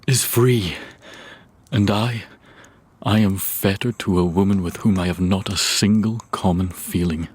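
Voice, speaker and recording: Tormented Victorian husband, radio-drama diary reading. Very low, husky, solemn, melancholy, confessional. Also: male voice, steady tone, measured pace, a little mellow. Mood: sorrowful, resigned. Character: melancholy, self-pitying Victorian husband voicing his diary.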